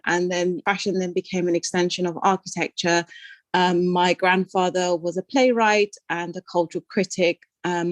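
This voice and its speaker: A woman's voice: on the low side, no echo. An older woman from Sudan, raised in London, speaking as a podcast guest in a reflective, self-deprecating way with frequent ums and restarts. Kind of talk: conversational interview.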